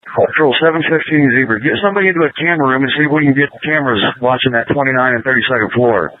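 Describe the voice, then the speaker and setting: A man's voice: mid-range voice, racing, dry recording. A male police officer coordinating and giving procedural directions over a police radio. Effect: police radio transmission. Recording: narrow tinny police scanner radio audio.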